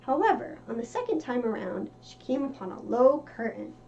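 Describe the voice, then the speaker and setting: A woman reads a children's story aloud. Her voice is high and very animated, with a mellow tone. The recording is a little dull, with music behind. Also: moderate pace, gravelly, a little hiss or hum. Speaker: storybook reader.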